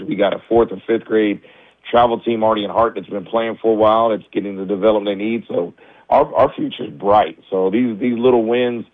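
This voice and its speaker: A man, a high school basketball coach, talking candidly in a radio interview with lots of restarts; his voice is a little raspy as he recovers from the flu. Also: dry recording. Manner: candid, many restarts and fillers. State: recovering from flu, low energy. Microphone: phone line. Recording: tinny dry phone line.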